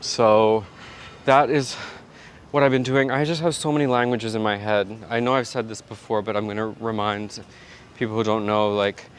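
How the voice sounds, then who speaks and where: Male vlogger, casual chatty delivery, low and even-toned. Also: moderate pace, a little hiss or hum. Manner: chatty, rambling, self-talking. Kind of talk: casual vlog chat.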